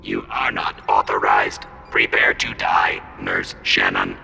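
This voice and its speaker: An actor voicing a menacing, humorless robot guard in a video game, with a booming, gravelly voice. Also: male voice, wildly swooping pitch, slow pace, bright, forceful tone, in a large hall, exaggerated voice. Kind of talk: video game cutscene acting.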